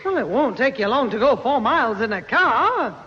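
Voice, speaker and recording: Lively, scolding mother. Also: on the high side, normal speed, breathy edge, heavily acted. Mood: exasperated. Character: brisk, exasperated scolding mother. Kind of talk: 1960s radio sitcom acting. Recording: muffled old radio, echoey hall, audience noise.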